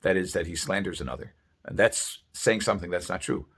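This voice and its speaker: A man with a fairly low voice delivers a rabbi's sermon. He explains religious sources deliberately, hesitating often as he works through each point. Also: fairly bright tone, breathy edge.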